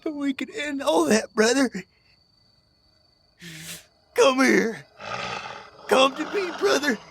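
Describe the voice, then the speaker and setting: A man's voice: extremely high pitch, lively intonation, takes it slowly, creaky, fairly bright tone, full character voice. A man playing an old rural American prospector in an audio drama, a stern, devoted brother, urgent and pleading in a folksy way. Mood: tender pleading. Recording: music behind the voice.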